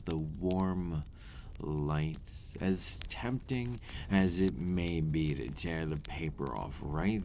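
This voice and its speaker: A man voicing a centuries-old vampire in an ASMR roleplay, a courtly, teasing predator with condescending, formal, old-fashioned phrasing and a deep voice. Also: a little hiss or hum, exaggerated voice. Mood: playful, savoring. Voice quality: deep, breathy at moments.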